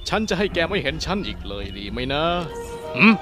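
A cruel reptilian assassin from a cartoon, acted by a man, taunting and menacing with lively swings in pitch. He gloats over heavy background music. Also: brisk. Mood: taunting menace. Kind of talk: Thai fan-dub cartoon acting. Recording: loud music under the voice.

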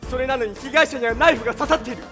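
A man's voice: extremely high pitch, racing, shouting. A man playing a young defense lawyer on stage, earnest, flustered and given to dramatic outbursts. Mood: urgent, assertive. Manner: dramatic outbursts, hesitant pleading. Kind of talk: theatrical stage acting. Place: large theater stage. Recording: live stage recording with faint music.

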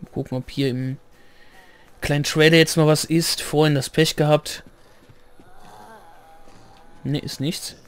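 A man with a slightly hoarse voice giving rambling, chatty commentary while playing a game, clearing his throat now and then. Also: on the high side, level tone, a little slow, pauses often. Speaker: let's play gamer. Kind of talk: casual gameplay commentary.

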